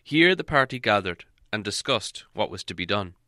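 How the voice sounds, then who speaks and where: A man narrating an audiobook in a measured storytelling way, softening on descriptive passages. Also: lowish voice, animated, no room sound, clean studio sound. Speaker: audiobook reader.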